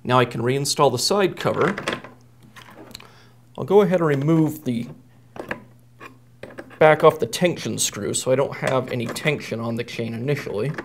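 A man's voice: medium pitch, moderate pace, pauses often, a little hiss or hum. A man in his thirties, a repair technician, explaining a hands-on job step by step in a plain, methodical way. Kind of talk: step-by-step how-to demonstration. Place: indoor workshop at a workbench.

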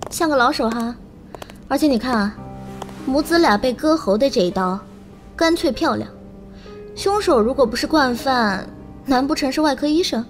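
Actress as chatty, sharp female forensic examiner, high voice, faint music behind, audio drama. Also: animated, normal speed, long pauses. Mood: curious, analytical. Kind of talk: Chinese audio drama acting.

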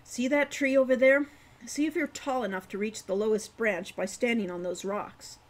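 A woman's voice: fairly high pitch, normal speed, lightly acted. A woman voicing a serious, patient older brother in an audiobook, giving restrained, businesslike instructions. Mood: stern, businesslike.

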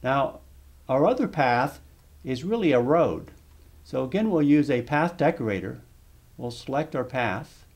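A man narrating a step-by-step software tutorial over screen footage, explaining calmly with small restarts. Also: medium pitch, measured pace. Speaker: software demonstrator.

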